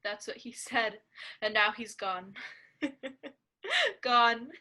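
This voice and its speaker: A woman voicing a demented young woman in a radio play, with slow, breathy laughter between words. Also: fairly high pitch, very animated, pushed and bright, heavily acted. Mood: eerie, giggling. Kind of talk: amateur radio play acting.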